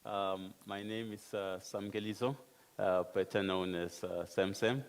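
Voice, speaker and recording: Deep, flat-voiced man from South Africa. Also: measured pace, clean tone. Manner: hesitant, filler-heavy. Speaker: panelist, car spinning athlete. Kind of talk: panel introduction. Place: conference hall. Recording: reverberant hall.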